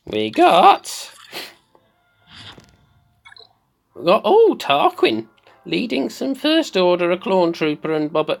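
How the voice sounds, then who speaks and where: Someone putting on the voice of a slightly mad, excitable woman doing theatrical video game commentary, repeating phrases for effect. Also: mid-range voice, gravelly, heavily acted. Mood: excitable, curious. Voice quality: put-on comic voice. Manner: theatrical, repeats phrases, swoops between squeals and murmurs.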